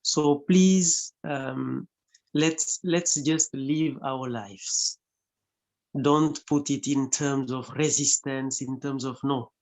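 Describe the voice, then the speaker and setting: A man giving gentle, measured feedback with frequent pauses and a gravelly voice. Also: mid-range voice, steady tone.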